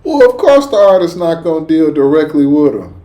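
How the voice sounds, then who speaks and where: Male reaction host, notably high-pitched, casual and slangy, emphatic, repeats phrases for weight. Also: big pitch swings, brisk, over loud music.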